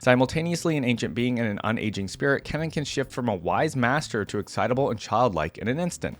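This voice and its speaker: A man hosting a reaction video, reading lore aloud and adding casual commentary. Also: fairly low pitch.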